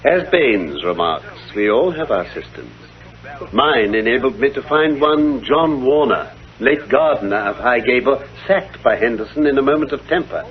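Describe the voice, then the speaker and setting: A man playing a self-assured British gentleman detective in a vintage radio drama, speaking in precise, formal explanations. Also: medium pitch, lively intonation, moderate pace, natural voice. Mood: firm deduction. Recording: dull, lo-fi vintage radio recording.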